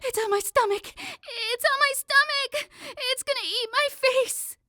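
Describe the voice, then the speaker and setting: A narrator voicing an excitable, easily frightened teenage girl in an audiobook adventure, with breathy, exclaiming delivery. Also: very high-pitched, measured pace, voice pushed up, full character voice. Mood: terrified. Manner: shrieking, pleading, breathy exclamations.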